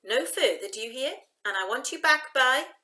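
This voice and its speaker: Woman putting on a loud, gruff old granny. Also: high-pitched, animated, normal speed, echoey room, pitched above the performer's own voice. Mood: stern. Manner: barking, brusque. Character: gruff, bossy, loud old grandmother innkeeper.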